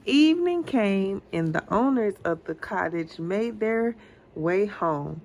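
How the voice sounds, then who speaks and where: A mother reading a storybook aloud to her child in a patient, deliberate, encouraging way. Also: medium pitch, expressive, a little mellow, slight background noise.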